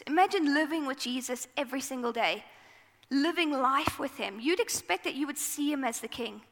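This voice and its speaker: Woman, South African accent, preacher, gravelly fry, high voice, storytelling sermon. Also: sharp tone, big-room sound. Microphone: microphone.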